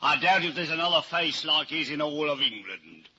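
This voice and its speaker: An actor playing a stubborn, insolent courtroom witness in a vintage radio drama. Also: male voice, fairly high pitch, expressive, moderate pace, pushed and bright, slightly rough, full character voice. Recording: dull, noisy old-time radio recording.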